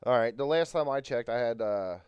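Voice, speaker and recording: A man's voice: medium pitch, fairly quick, darkish tone, smooth, no echo. A man in his thirties chatting casually on a livestream, rambling with many fillers. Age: 30s. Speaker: co-streamer.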